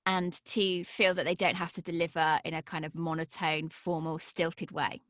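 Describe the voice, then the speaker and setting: An animated woman speaks as an interview guest, her pitch swinging high then dropping back down. She sounds natural and unhurried in her storytelling. The recording is dry and close to the mic, with no room sound. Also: dry recording. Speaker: podcast guest, voice and podcast coach. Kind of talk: energetic interview talk.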